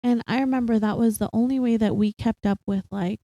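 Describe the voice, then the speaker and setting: A young woman co-hosting a casual chat podcast, animated and quick to laugh, full of fillers. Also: a little high, dry recording. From Philippines, raised partly in Singapore. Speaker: podcast co-host.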